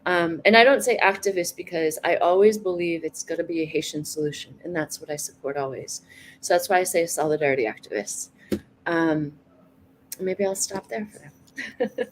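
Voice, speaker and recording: Soft-spoken woman with a lowish voice. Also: normal speed, a little hiss or hum, low-quality recording. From United States. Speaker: guest. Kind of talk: casual online conversation.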